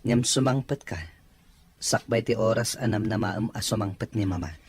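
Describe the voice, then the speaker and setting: A man's voice: on the low side, normal speed, a little mellow, close to an everyday voice. A man as a casual young boss, faint noise. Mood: evasive. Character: casual, evasive young male employer, kind to his maid. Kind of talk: audio drama dialogue.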